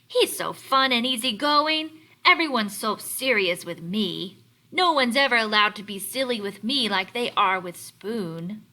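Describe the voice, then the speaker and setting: A woman's voice: fairly high pitch, animated, measured pace, bright, forceful tone. A woman voicing envious kitchen utensils from a storybook, plaintive and emphatic. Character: storybook kitchen utensils envying their carefree friend.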